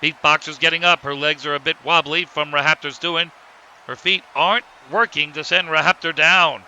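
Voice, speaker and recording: A man playing an excitable wrestling commentator, calling the fight blow by blow. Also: on the high side, moderate pace, lo-fi sound. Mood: focused. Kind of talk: sports commentary.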